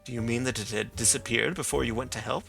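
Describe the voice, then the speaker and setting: A man's voice: medium pitch, steady tone, fast, creaky, fairly bright tone, faint music behind. A man reading the part of a stern male coroner, asking formal, probing questions.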